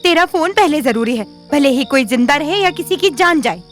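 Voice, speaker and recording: Hot-headed young woman, really high voice, sharp n emotional, audio drama w/ music behind. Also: lively intonation, moderate pace. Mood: bitter, furious. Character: Shivani, injured, hot-tempered vengeful young woman.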